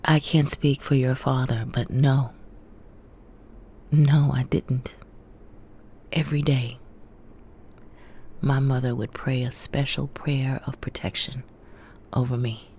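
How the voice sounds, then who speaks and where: An actress playing a tender, steadfast older mother and former activist in an audio drama, speaking in a hushed, deliberate way. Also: very deep voice, fairly even intonation, a little hiss or hum, exaggerated voice. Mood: solemn reassurance. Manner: slow, hushed, deliberate delivery. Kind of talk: intimate audio drama acting.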